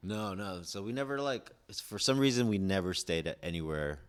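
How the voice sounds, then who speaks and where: A man's voice: low-pitched, animated, a little fast, clear, resonant tone. A man in his forties, born in Indonesia and raised in Southern California, talking as a podcast guest in a laid-back, rambling way, full of "like" and "dude". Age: 40s. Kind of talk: casual chat.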